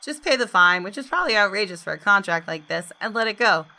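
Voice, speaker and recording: Bright-voiced woman reading game narration. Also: medium pitch, animated, fast. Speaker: gaming YouTuber. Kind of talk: dramatic read-aloud of game narration with casual asides.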